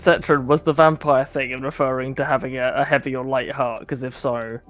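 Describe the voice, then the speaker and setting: A man's voice: medium pitch, little pitch movement, brisk, a bit bright, soft background music. A man chatting casually over a game stream, joking, laughing often and mocking himself. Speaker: co-streamer voicing game characters. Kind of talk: casual gaming chat.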